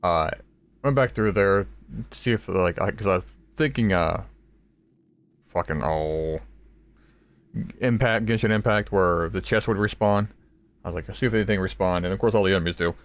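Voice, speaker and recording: Low-voiced man rambling through gameplay. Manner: rambling, halting, swears casually. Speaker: gaming video creator. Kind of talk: casual let's play commentary.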